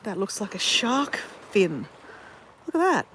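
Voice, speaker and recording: A woman's voice: medium pitch, big pitch swings, a little slow, a bit bright, slight background noise, low-quality recording. Chatty Australian woman walking on a beach. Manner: rambling, chatty, self-correcting storyteller. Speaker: vlogger. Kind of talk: casual walking chat. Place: outdoors on a beach.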